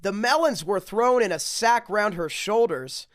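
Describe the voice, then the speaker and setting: A person reading picture books aloud in a theatrical way, dropping into muttered deadpan asides. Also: mid-range voice, measured pace. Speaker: storybook reader.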